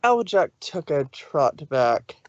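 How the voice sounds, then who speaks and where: Male narrator, plain steady read, dry, muffled mic. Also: fairly high pitch, slow pace, no echo. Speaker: reader. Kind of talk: fanfic reading narration.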